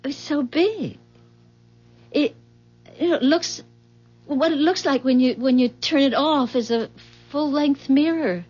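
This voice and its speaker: An actress playing a curious, friendly housewife in a 1970s radio drama, speaking in a casual, tentative way. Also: female voice, high-pitched, measured pace, long pauses, darkish tone, faint music behind. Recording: dull vintage 1970s radio recording.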